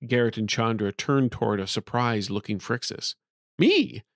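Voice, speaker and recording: A man's voice: on the low side, steady tone, a little fast, dry recording, studio quality. A man narrating a scripted fantasy story as an audiobook storyteller.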